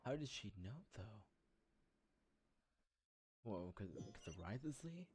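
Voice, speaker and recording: A woman's voice: very deep voice, big pitch swings, slow. A woman giving casual reaction commentary as she plays through a video game. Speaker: streamer playing a game. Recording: loud game music behind.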